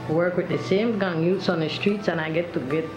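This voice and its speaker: A woman's voice: fairly low pitch, normal speed. Earnest lady, kinda hesitant, echoey room w music. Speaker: youth project worker. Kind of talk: documentary testimonial.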